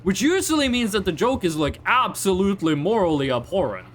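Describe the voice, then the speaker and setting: A young Northern Norwegian man with a Norwegian accent chats casually on a game stream, rambling through stories and slipping into mock-formal asides. His voice sits high and swings widely up and down. A faint hum of ambience lies under the recording. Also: very animated, a little fast. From Northern Norway. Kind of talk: casual livestream chat while gaming.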